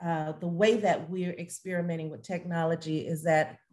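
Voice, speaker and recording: Middle aged lady hosting an online talkback from home, voice on the low side. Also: steady tone, moderate pace, a little mellow. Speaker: host, theatre artistic director. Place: bright room at home. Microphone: video call.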